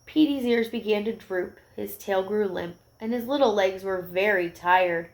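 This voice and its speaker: A woman reading a picture book aloud to young children, expressive, with gentle explanatory asides. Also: medium pitch, measured pace, poor audio.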